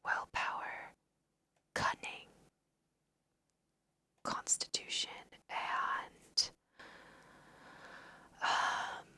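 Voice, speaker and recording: A woman whispering casual, chatty ASMR commentary over a video game, thinking aloud in a breathy voice. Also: bright, forceful tone. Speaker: host of an ASMR let's play.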